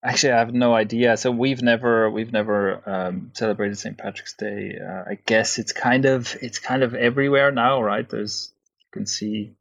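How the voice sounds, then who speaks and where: A man from Switzerland with a mild Irish-tinged accent, talking candidly as an interview guest in a relaxed, conversational way. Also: medium pitch, normal speed, creaky, muffled recording. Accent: mild Irish-tinged English. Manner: relaxed, candid, with frequent restarts. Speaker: guest entrepreneur.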